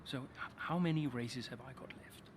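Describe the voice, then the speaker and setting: Veteran male racing driver, played by an actor, proud yet soft-hearted, mid-pitched, game drama, clearly noisy background. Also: moderate pace, close to an everyday voice. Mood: hesitant, concerned. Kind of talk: video game cutscene acting.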